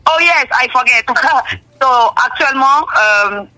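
A woman from Dominica, a booking agent talking in a lively radio interview and laughing often. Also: high-pitched, takes it slowly, soft background music, rough recording. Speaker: booking agent and consultant, guest. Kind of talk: radio interview, promoting tickets.

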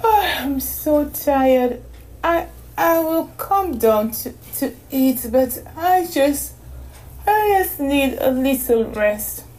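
A woman's voice: high voice, expressive, a little mellow, poor audio. A woman voicing a sleepy young girl who stammers and yawns through her words. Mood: drowsy. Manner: stammering, breathy, drawn-out yawning speech.

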